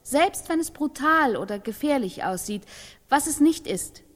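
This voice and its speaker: A woman giving a careful, measured testimony in a radio play. Her voice is high and unhurried, ringing with long reverb. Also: expressive. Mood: measured, assured.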